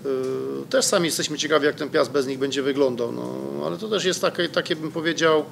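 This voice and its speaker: A man's voice: a little high, brisk, creaky, slight background noise. A middle-aged man, a football coach, answering reporters' questions at a press conference in a hesitant way, with many fillers and restarts, into a desk microphone. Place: press room in front of a sponsor wall.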